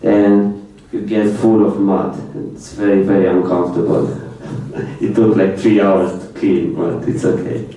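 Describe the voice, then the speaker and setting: A young man who grew up speaking Romanian talks through his slides in simple English, repeating words as he goes. His voice sits low and dark. The very echoey lecture room and the distant mic make him sound far away. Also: little pitch movement, unhurried, hardly any pauses. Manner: plain speech in non-native English with many repetitions, light laughs. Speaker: foreign student presenter. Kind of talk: informal slide presentation.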